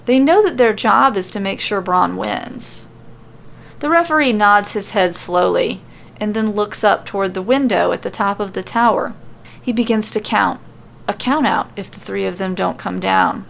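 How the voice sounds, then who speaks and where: A woman narrating a story as podcast host, expressive and casual, on a slightly noisy recording. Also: mid-range voice, moderate pace, vocal fry.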